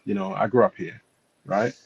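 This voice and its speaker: A man's voice: fairly low pitch, fairly even intonation, moderate pace, creaky, lo-fi sound, slight background noise. A man who grew up in England, a panel guest telling stories and checking in with his listeners. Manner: anecdotal, checks in with listeners, drops his voice then rises. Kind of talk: storytelling in a panel discussion.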